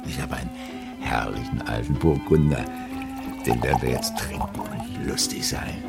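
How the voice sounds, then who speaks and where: A man's voice: rumbling low, huge pitch swings, moderate pace, vocal fry, exaggerated voice. An actor playing a carefree, charming bohemian writer in a radio drama, coaxing his lover and easily piqued. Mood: wistful, coaxing. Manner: coaxing, pet-naming, theatrical. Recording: music behind.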